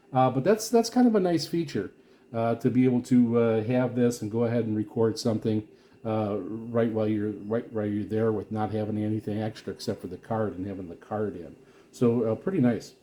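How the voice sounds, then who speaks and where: A man giving a casual, rambling product walkthrough as a reviewer, speaking in a halting, explanatory way. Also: medium pitch, warm tone. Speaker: radio reviewer and vlogger.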